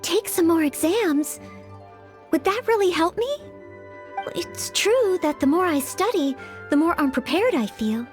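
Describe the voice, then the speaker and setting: A woman's voice: extremely high pitch, lively intonation, a little slow, soft background music. A woman voicing an earnest, anxious young maid who trains to be a knight in a video game, speaking politely and formally with some hesitancy. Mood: nervous, doubtful. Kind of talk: English video game voice acting.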